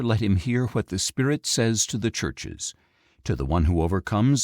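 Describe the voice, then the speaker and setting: A man reading scripture as an audiobook narrator, in a solemn, measured, declamatory way. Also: on the low side, a little mellow, no room sound, clean studio sound. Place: dry recording studio.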